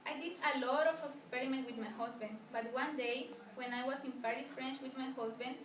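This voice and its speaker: A woman playing a famous, polite woman scientist as an interview guest, speaking earnestly with halting, formal phrasing. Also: sits high, steady tone, close to an everyday voice. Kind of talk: amateur acted interview for a student film. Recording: roomy, distant mic, faint noise.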